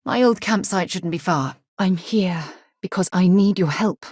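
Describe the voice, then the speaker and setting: A woman playing an earnest, inquisitive, principled space explorer in a video game, with a husky voice and articulate, formal phrasing. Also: on the low side, a little slow, a little mellow, no room sound, studio quality. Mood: thoughtful, composed. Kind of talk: scripted video game dialogue.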